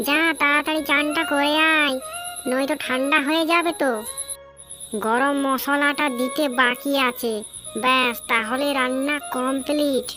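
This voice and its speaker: High-pitched caring village mother played by a woman. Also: fairly even intonation, measured pace, music in the background. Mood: affectionate. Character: caring poor village mother selling fish, eager. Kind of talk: animated story voice acting.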